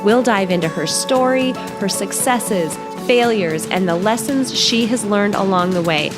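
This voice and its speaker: A woman hosting a podcast interview, enthusiastic and upbeat. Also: medium pitch. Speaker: podcast host.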